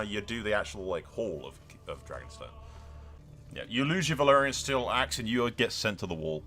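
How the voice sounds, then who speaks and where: Animated, bright-voiced man doing game commentary. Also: fairly low pitch. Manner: sweary, mock-grandiose. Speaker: gaming video host. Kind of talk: casual game commentary with role-play narration.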